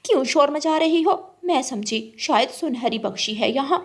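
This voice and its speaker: A woman's voice: high voice, expressive, normal speed. A woman voicing an irritated, selfish female cartoon crow in a scolding manner.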